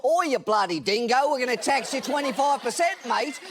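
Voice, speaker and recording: A brash Australian bloke, put on by a man for a comedy bit. He is loudly threatening and high-pitched, with swooping, animated delivery. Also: fairly quick, a crowd in the background.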